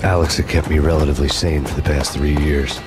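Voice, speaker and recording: Cynical undercover cop, game noir narration, bottomless low voice, brooding, world-weary, music underneath. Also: male voice, steady tone, fairly quick. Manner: sardonic, brooding, poetic.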